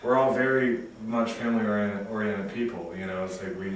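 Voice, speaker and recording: An earnest man explains himself in an interview, his lowish voice stopping and restarting as he searches for words. He sounds far from the mic, in a strongly echoing space with a crowd murmuring behind him. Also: brisk, a little hiss or hum. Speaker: band member guest. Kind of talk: casual interview chat.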